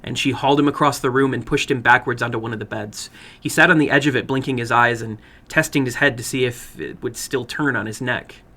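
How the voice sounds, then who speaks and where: Guy reading a creepy short story, sharp bright voice, medium pitch, deliberate and suspenseful. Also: fairly even intonation. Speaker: horror fiction reader and host. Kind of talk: dramatic story narration.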